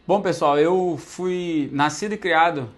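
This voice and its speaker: A man's voice: fairly high pitch, a little slow. A young man from Brazil telling his own life story to the camera in a casual, conversational way, often tagging sentences with "né". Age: young adult. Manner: conversational, many fillers like "né" and "pô", addresses viewers directly.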